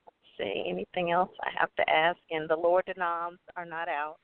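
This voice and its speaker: A woman's voice: lowish voice, level tone, moderate pace, vocal fry, clear, resonant tone. A woman calling in, casually asking questions. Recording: narrow phone line.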